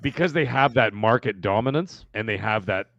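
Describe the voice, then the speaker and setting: A Canadian man rambles through a gaming podcast as co-host, animated and full of restarts. His voice comes through dull and lo-fi. The sound is dry, with no room to it. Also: on the low side, normal speed, vocal fry, no echo. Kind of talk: casual gaming podcast chat.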